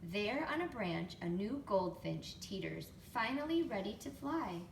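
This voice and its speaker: A woman reading a picture book to young children expressively, pausing to explain things and ask them questions. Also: mid-range voice, sing-song, gravelly. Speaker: storytime reader, teacher to young children. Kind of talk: picture book read-aloud with chatty asides. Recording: roomy, distant mic, faint background noise.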